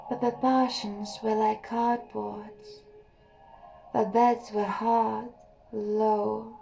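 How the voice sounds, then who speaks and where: Woman reading a story real slow, high soft voice, drops almost to a whisper sometimes. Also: creaky, poor audio. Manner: soft and unhurried, dropping to a near whisper at times. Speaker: audiobook reader.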